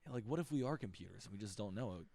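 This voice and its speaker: A man's voice: lowish voice, animated, rapid-fire, creaky, dark tone, slight background noise. A young man in a casual podcast chat, crude and joking, swearing freely.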